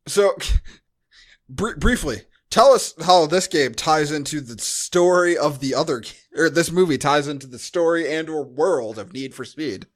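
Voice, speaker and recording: A man's voice: fairly high pitch, expressive, unhurried. An American man co-hosting a podcast, talking casually about a film in a blunt, joking, sweary way. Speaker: podcast co-host. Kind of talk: casual film review chat.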